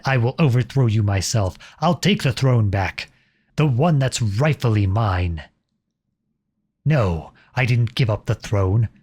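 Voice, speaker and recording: Guy acting as a lovestruck defiant prince, husky lowish voice, earnest and dramatic, audio roleplay. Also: a little mellow, exaggerated voice. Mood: anguished, defiant. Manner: earnest, pleading, dramatic delivery. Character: outlawed young prince turned soldier, impassioned, lovestruck, defiant.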